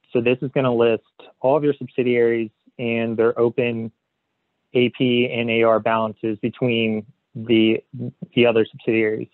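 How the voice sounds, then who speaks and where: Flat-toned man on a phone-like line. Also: mid-range voice. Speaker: accounting software consultant and webinar presenter. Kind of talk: webinar presentation, explaining slides. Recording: phone-like narrow band, roomy echo.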